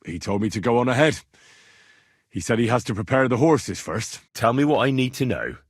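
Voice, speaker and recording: An actor playing an earnest, cheeky young medieval adventurer in a video game, plain-spoken and conversational. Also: male voice, medium pitch, very animated, fairly quick, slightly rough, no echo, studio quality. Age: young adult. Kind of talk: video game character dialogue.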